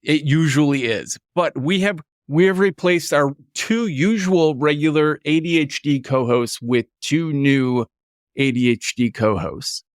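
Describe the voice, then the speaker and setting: A man hosting a casual podcast, friendly and quick to laugh. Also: a little high, dry recording, studio quality. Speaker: podcast host.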